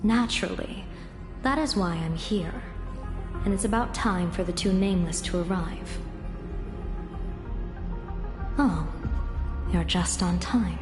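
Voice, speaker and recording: Game role: poised female general, played by a woman, measured and wry, lower register, roomy echo. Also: over music, close to an everyday voice. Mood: assured. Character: poised, shrewd female general, calm and wryly amused. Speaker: military commander. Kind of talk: video game voice acting.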